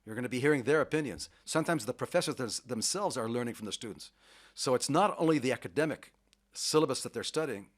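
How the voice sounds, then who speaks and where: A middle-aged man, a university vice president, talking enthusiastically and at length in a radio interview, with the odd restart. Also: medium pitch. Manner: enthusiastic, talkative, sports metaphors, occasional restarts.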